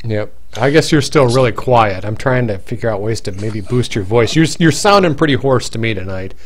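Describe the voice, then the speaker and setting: Affable mid-pitched male co-host. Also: animated, normal speed, slight background noise. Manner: affable, agreeable, stammering restarts. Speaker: co-host on a livestream. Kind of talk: casual stream chat.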